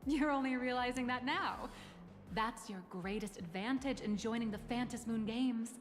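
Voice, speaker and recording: A woman's voice: fairly high pitch, moderate pace, a little hiss or hum, natural voice. A woman voicing a composed corporate guide. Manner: composed, formal, guarded. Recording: game audio with long reverb.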